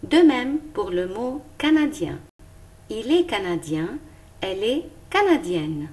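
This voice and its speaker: Female schoolteacher, teaching children slowly with a lively, rising and falling voice. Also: mid-range voice. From Lebanon. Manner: slow, careful and clearly articulated. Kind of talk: recorded lesson for young pupils.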